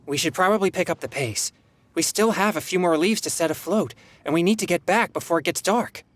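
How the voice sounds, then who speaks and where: An actor playing a thoughtful, sensible young companion in a video game, gentle and encouraging. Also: medium pitch, a little fast, no room sound, close to an everyday voice.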